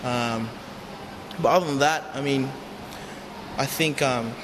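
A man from the Philippines giving unscripted, halting interview answers as a youth coach. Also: mid-range voice. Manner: hesitant, halting phrasing. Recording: long reverb, clear background noise.

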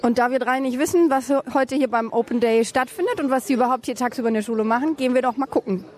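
A woman's voice: high voice, fast, darkish tone. A woman reporter who interviews parents and children on location and asks friendly questions.

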